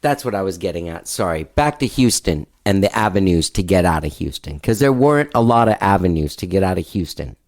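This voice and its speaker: A man's voice: low voice, lively intonation, fairly quick, hardly any pauses, a little mellow. A man hosting a casual interview podcast, easygoing, with short encouraging responses and loose questions. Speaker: podcast host.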